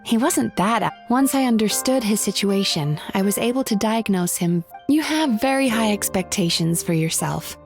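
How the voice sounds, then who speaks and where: A woman voicing a poised, gentle dream therapist in a video game, with a composed, explanatory delivery. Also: medium pitch, expressive, moderate pace, hardly any pauses, faint music behind, lightly acted.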